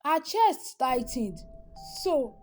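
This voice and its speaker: A woman narrating a scripted dramatic story in measured short phrases, pausing for effect. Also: high-pitched, sing-song, darkish tone, a little hiss or hum.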